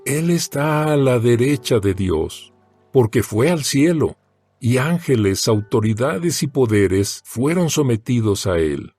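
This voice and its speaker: A man giving a solemn, measured, dramatized reading of scripture as an audio Bible narrator. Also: medium pitch, animated, a little mellow, slightly rough, no echo. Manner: solemn, measured, deliberate phrasing. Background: background music.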